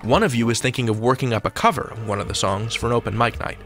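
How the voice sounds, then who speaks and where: A man's voice: medium pitch, fast, fairly bright tone, soft background music. A man hosting a podcast, reading scripted countdown narration in a wry, playful way. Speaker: podcast host.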